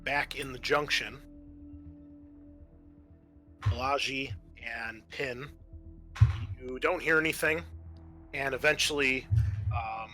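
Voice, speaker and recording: A man improvising narration as game master of a tabletop game, halting and conversational. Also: mid-range voice, pushed and bright. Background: background music.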